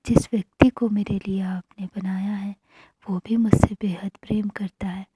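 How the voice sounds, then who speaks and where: A woman narrating guided meditation affirmations in a hushed manner, pausing between short phrases. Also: medium pitch, flat delivery, heavy background noise.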